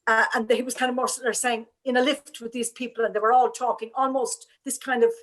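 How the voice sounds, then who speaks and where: A woman's voice: a little high, little pitch movement, quick pace, fairly bright tone. A woman moderating a panel discussion, chatty and encouraging, with frequent affirmations.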